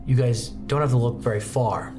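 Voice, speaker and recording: A man's voice: medium pitch, fairly even intonation, normal speed, music in the background. A man working as game master, narrating a tabletop roleplaying game.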